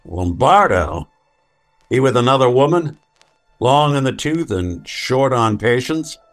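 An actor playing a world-weary, hard-boiled male detective in an old-time radio drama, speaking tersely and deliberately. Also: mid-range voice, sing-song, fairly bright tone. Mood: terse, wry.

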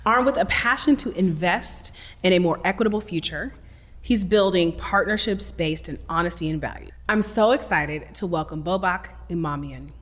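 A woman's voice: fairly low pitch, normal speed, fairly bright tone, in a large hall. A woman hosting a podcast interview, chatty and enthusiastic, with many "like"s. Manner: chatty, enthusiastic, says "like" a lot. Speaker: podcast host. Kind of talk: casual interview chat.

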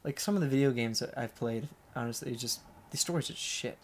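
A man talking casually as a podcast guest, relaxed and hesitant, with lots of fillers and restarts. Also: medium pitch, fairly quick. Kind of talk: casual conversation.

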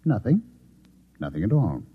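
An actor playing a composed, secretive Martian posing as a newspaperman in a 1950s radio drama, speaking in a measured, condescending way. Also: lowish voice, very animated, slightly rough, no room sound, heavily acted. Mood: smugly dismissive. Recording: muffled, narrow-band old radio recording.

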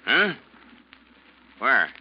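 An actor playing a proud, evasive ship's captain with a curt, defensive manner in an old-time radio drama. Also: male voice, mid-range voice, big pitch swings, drawn-out words, a little hiss or hum. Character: proud, evasive ship's captain hiding his failing eyesight. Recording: muffled vintage radio drama recording.

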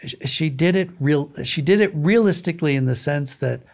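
A man's voice: a little high, normal speed, vocal fry. A man speaking as a guest author in an interview, reflective and emphatic in a conversational way. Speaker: guest, author of audio dramas and books.

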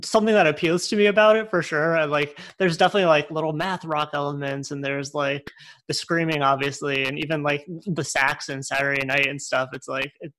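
Young male interviewer, bright tense tone, fairly high voice, halting delivery. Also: steady tone. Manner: hesitant, heavy on "like" and "kind of". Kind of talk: casual interview questions.

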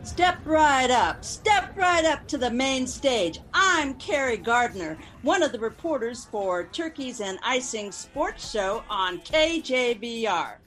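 Actress as a high-voiced showy stage announcer. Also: female voice, lively intonation, slow pace, hardly any pauses, fairly bright tone, rough recording. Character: showy female event announcer, brisk sports reporter. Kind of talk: carnival-barker stage announcing in radio drama.

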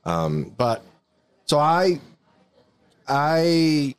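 A man's voice: a little high, big pitch swings, drawn-out words, smooth, no echo. A man in his forties from Mexico with a Mexican accent, telling his life story casually on a podcast with many restarts. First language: Spanish. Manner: rambling, many restarts and fillers. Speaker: podcast co-host. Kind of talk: casual chat, personal storytelling.

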